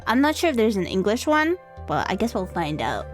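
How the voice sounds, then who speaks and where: A woman's voice: mid-range voice, big pitch swings, a little fast, over music. A young woman live streaming a game, chatting casually and hesitantly, rambling as if talking to herself. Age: young adult.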